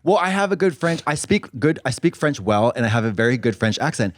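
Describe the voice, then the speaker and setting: A man's voice: medium pitch, very animated, a little fast, no echo. A man in his thirties hosting a casual podcast, sassy and campy, on a handheld mic in a studio. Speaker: host. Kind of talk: casual chat. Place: pink studio set, indoors.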